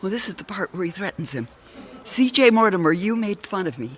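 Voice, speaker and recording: Earnest, bright-voiced detective's secretary. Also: female voice, mid-range voice, very animated, a little fast. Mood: earnest, urgent. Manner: urgent, insistent, precise. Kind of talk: radio mystery acting.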